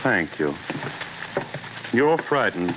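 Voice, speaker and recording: An actor playing a cold, domineering scientist husband in an old-time radio horror drama, speaking with clipped contempt. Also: male voice, on the low side, big pitch swings, slow. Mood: scornful. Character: cold, selfish scientist husband, scornful and irritable. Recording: noisy, tinny old radio recording.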